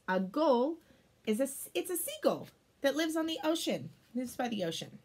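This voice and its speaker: A woman in her thirties reading a picture book aloud to children, expressive, with exclamations and chatty explanatory asides. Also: on the high side.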